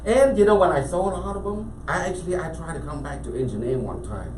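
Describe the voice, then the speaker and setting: A man from Cambodia with a Cambodian accent, a business owner telling his story as a guest in a casual interview, animated and full of restarts. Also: a little high, quick pace. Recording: echoey room, mic at a distance, faint background noise.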